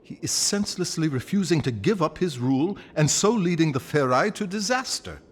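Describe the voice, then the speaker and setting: Theatrical, wry scholar-guide in echoing reverb. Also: male voice, on the high side, animated, fairly quick. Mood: pompous, musing. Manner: theatrical, articulate, dryly pompous. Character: erudite, wry male guide companion, theatrical and self-assured. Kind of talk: video game cutscene acting.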